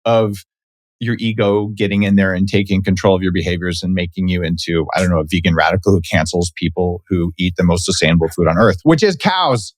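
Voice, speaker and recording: Brief: middle-aged man, lowish voice, chatty host riffing, dry close mic. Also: fairly quick, no echo. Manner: chatty, riffing, jokey asides. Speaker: podcast host. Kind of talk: podcast interview.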